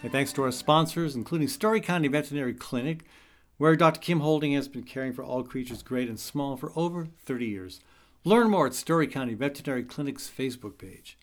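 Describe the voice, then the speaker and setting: A man hosting a radio talk show, chatting casually and rambling with many fillers and restarts. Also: mid-range voice.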